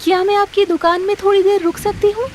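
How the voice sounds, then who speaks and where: A woman voicing a sweet, gentle young girlfriend in a cartoon story, speaking politely. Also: very high-pitched, flat delivery, quick pace, background noise, voice pushed up. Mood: polite request. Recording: music under the voice.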